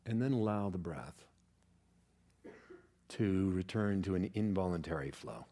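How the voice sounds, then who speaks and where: Deep-voiced man guiding a calm meditation. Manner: calm, measured, soothing instruction with pauses. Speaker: yoga nidra teacher. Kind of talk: guided relaxation meditation.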